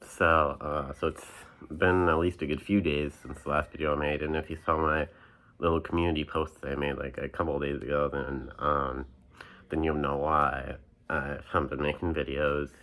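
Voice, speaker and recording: A man's voice: extremely low pitch, little pitch movement, moderate pace, pushed and bright. A young man chatting casually on his vlog about his book collection, rambling with lots of ums and restarts while getting over a cold with a stuffy nose. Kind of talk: casual chat.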